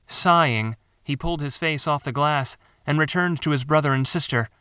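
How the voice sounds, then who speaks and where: A man reading his own story as scripted dramatic narration, measured and theatrical. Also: fairly high pitch, pushed and bright, clean tone, no room sound, clean studio sound. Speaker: author narrating own audiobook.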